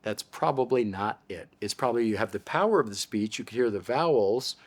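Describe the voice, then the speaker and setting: A man's voice: fairly low pitch, animated, a little fast. An older man with an American accent, an audiologist patiently explaining things in an interview. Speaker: audiologist guest.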